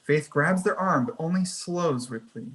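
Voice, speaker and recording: A narrator at a screenplay table read, with a voice of medium pitch. The delivery is scripted narration of scene headings and action. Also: expressive, measured pace, fairly bright tone, slightly rough. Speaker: narrator reading stage directions.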